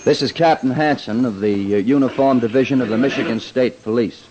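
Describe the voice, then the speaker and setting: A man's voice: mid-range voice, fairly quick, crowd noise. A man with an American accent, a police captain, giving a formal, matter-of-fact official statement. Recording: dull, faintly noisy 1940s radio recording.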